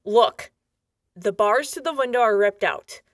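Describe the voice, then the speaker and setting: A tough, bossy sheriff, acted by a woman in a wasteland radio drama. The voice is fairly high and dryly recorded, gruff and sarcastic in manner. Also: unhurried, no room sound, clean studio sound, close to an everyday voice. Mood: stern, sarcastic. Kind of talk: post-apocalyptic radio drama acting.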